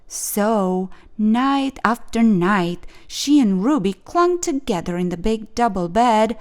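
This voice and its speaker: A woman reading a children's picture book aloud as a storyteller, with expressive, clearly phrased narration. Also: mid-range voice, takes it slowly.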